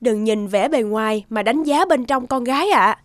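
A woman voicing a gentle, wise young mother in a children's cartoon. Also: a little high, moderate pace, dry recording, studio quality, lightly acted. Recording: music behind the voice.

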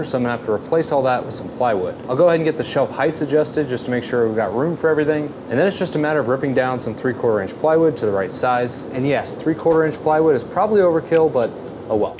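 A man in his thirties hosting a home workshop channel, explaining his projects in a brisk, upbeat, practical way on a lapel mic. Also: mid-range voice. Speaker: woodworking and 3D printing channel host. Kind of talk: casual shop vlog narration. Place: home basement and garage workshop.

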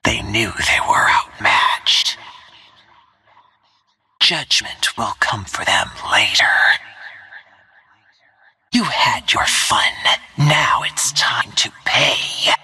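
Cold female assassin soldier, video game lines, whispery, bright hissing edge, dry close sound, self-assured. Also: rumbling low, animated, very slow, pauses often, heavily acted. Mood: contemptuous. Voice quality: breathy, whispery.

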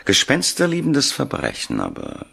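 An actor playing an eccentric, mocking professor of the occult in a 1970s radio play, speaking theatrically in clipped phrases with dramatic pauses. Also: male voice, medium pitch, wildly swooping pitch, vocal fry, a bit bright, slightly rough, heavily acted. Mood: emphatic, mocking. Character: eccentric American parapsychology professor, ominous and mocking.

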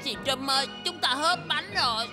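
Young excitable cartoon smurf, female actor, fairly high voice, over background music. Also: normal speed, close to an everyday voice. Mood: alarmed. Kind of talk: Vietnamese cartoon dub.

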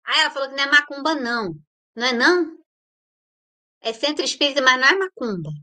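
A woman's voice: high voice, expressive, fast, bright, forceful tone. A woman with a Brazilian Portuguese accent hosting a casual livestream, chatting playfully and greeting viewers by name. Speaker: livestream host.

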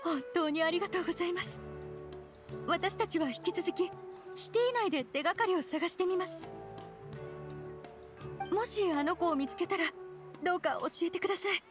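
A worried, courteous mother from an anime fantasy game. Her voice is high and hesitant, with faint music behind it. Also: moderate pace, pauses often. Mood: grateful, pleading. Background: background music.

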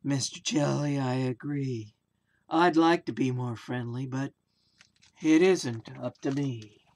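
Low-pitched, very slow, gloomy sulking fish, drawn-out words, storybook character, acted by a woman. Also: darkish tone, full character voice. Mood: glum, resigned. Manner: slow, drawn-out, mopey delivery.

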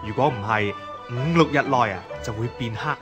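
A man's voice: mid-range voice, fairly quick, music in the background, natural voice. Man playing a doting Chinese emperor. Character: doting, indulgent emperor of Tang China. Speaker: radio drama actor. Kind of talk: Cantonese historical radio drama acting.

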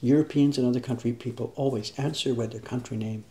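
A man's voice: medium pitch, fairly even intonation, fast, mellow. An older man hosting a casual live-stream chat, speaking emphatically and repeating himself for stress. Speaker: craftsman host of a live stream. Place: indoor workshop.

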